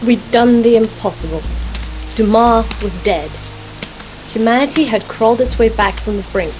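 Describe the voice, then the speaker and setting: A woman's voice: on the high side, expressive, normal speed, a little mellow, faint music behind, natural voice, low-quality recording. A woman playing a defiant, sardonic alchemist, wry and assertive, in an audio drama with narration. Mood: wry, resolute. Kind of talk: first-person narration and audio drama acting.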